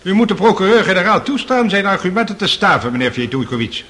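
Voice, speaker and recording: An actor playing a stern, fussy presiding judge in a radio courtroom drama, pompous and formal. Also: male voice, sits high, sing-song, fast, sharp tone, exaggerated voice.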